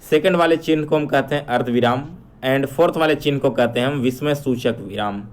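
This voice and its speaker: A man teaching an exam-prep lesson, addressing learners directly and restating each question before explaining it. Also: medium pitch.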